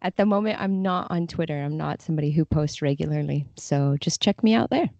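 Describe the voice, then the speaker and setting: A woman's voice: low-pitched, brisk, gravelly, mellow, no echo. A woman chatting casually as a guest in an interview, on slightly dull audio. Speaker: podcast guest, freelance translator.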